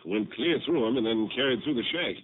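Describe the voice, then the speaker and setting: A man's voice: medium pitch, animated, a little fast, slightly rough. An actor playing an easygoing, folksy male country sheriff in a 1950s radio drama. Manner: easygoing, folksy drawl of words. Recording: tinny lo-fi old radio broadcast with faint hiss.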